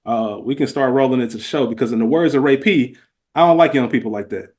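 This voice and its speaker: This is a man chatting as a podcast co-host. He speaks informally with easy slang, and his voice sits at an average male pitch. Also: quick pace, a little mellow. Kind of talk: casual podcast chat.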